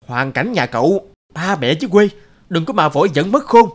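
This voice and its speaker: A man's voice: a little high, big pitch swings, moderate pace. A man voicing a sensible, nagging young office colleague in a cartoon, earnest and chiding. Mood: alarmed. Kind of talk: cartoon voice acting.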